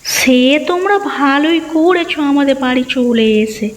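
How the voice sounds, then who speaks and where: A woman voicing a nagging but caring village housewife and mother in a children's cartoon, fussy and expressive. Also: high voice, moderate pace, big-room sound, natural voice. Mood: surprised, fussy. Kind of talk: children's moral story cartoon dubbing.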